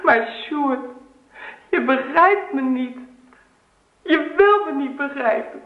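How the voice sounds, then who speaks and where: An actress playing a young Dutch wife in a radio play, affectionate, practical and gently insistent. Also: female voice, high-pitched, animated, slow pace. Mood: exasperated, protesting. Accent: Netherlands Dutch. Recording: roomy, tinny phone-like radio recording.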